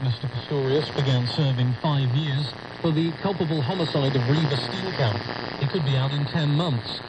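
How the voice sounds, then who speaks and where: Higher-voiced male newsreader through heavy radio noise. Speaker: news reader. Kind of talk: scripted radio news bulletin. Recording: very noisy shortwave radio broadcast.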